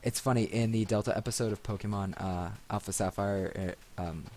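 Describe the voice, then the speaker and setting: A man's voice: lowish voice, fairly even intonation, a little fast, darkish tone, slight background noise. A man giving casual, rambling commentary as he plays a video game, recorded on a desk microphone. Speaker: let's play host. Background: game music.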